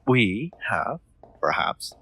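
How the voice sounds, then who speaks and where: A man playing a gentle, solicitous nobleman in an intimate roleplay audio drama, speaking in formal, courteous, slightly hesitant phrases. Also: lowish voice, very animated, very slow, slightly rough, full character voice. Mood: welcoming, attentive. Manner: formal, old-fashioned courteous phrasing, hesitant, soft chuckles. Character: gentle, solicitous nobleman, new master of an automaton.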